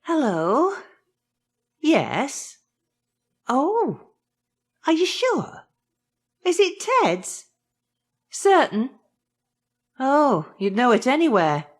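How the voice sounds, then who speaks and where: Highly expressive, fretful British housewife. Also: female voice, extremely slow, long pauses, full character voice. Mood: puzzled, concerned. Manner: expressive, exclamatory. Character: anxious postman's wife on the telephone.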